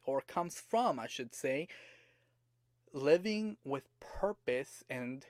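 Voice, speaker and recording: Hoarse motivational podcast man. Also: medium pitch, lively intonation, takes it slowly, no room sound, studio quality. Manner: earnest, repeats rhetorical questions to the listener. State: slightly hoarse from a cold. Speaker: podcast host.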